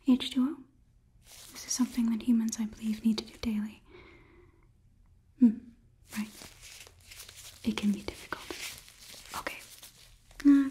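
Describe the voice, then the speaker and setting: Casting: young woman as clinical robot overlord, female, breathy close whisper, condescending but polite ASMR roleplay, highish soft voice, noisy hiss. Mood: curious, concerned. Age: 20s. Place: small indoor studio set. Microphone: close ASMR microphone.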